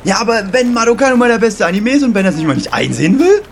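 A man playing an excitable, stammering, argumentative young anime fan in an amateur audio drama. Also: extremely high pitch, racing, vocal fry, a loud crowd, heavily acted.

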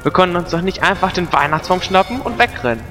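A man's voice: high-pitched, expressive, quick pace, fairly bright tone, soft background music. A man playing an earnest adventurer in an amateur audio drama parodying video games. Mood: sceptical.

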